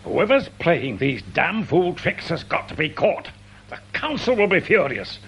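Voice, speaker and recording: A man's voice: on the high side, sing-song, unhurried, fairly bright tone, husky, full character voice. An actor playing a fussy, indignant older British father-in-law and committee chairman in a radio drama, insistent and worried about appearances. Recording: radio recording with faint hum.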